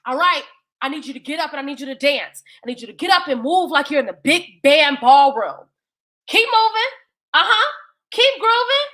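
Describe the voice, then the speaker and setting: A woman voicing a bossy, excited storybook dance caller, commanding and urging over and over. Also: sits high, moderate pace, full character voice. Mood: rousing.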